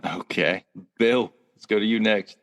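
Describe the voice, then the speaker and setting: A man with a very low voice hosts a laid-back sports podcast and reads sponsor spots in an upbeat tone. He talks over a video call. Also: a little slow, fairly bright tone. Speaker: podcast host. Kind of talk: casual podcast hosting with read ads.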